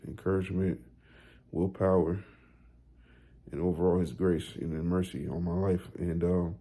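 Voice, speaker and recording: A man in his thirties talks straight to his viewers in a casual motivational vlog. His voice sits low and mellow. His speech is loose and slangy, and he keeps repeating himself with tags like "you know" and "right". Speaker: weight loss vlogger.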